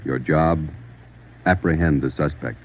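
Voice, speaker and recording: A man acting as the grave, deliberate announcer of a 1940s radio police drama. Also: extremely low pitch, very noisy. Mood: grave, solemn. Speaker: announcer, prologue narrator. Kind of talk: 1940s radio scripted narration. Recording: narrow old radio recording with music.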